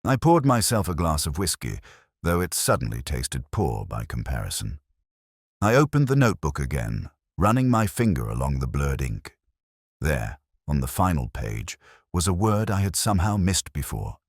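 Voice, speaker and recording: A man narrating a literary mystery in the first person, in a measured, melancholy and solemn way. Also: a bass voice, big pitch swings, no room sound, clean studio sound.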